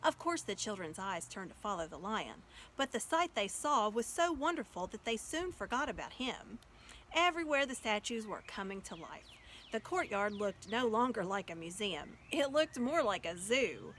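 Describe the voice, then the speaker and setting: A woman reading a children's story aloud as an expressive storyteller. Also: medium pitch, normal speed, slight background noise.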